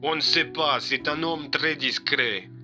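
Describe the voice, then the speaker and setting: A man's voice: fairly high pitch, measured pace, pushed and bright, faint music behind, voice pushed up. A man playing a dutiful male police officer reporting to his superior in an audio drama. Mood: dutiful, serious.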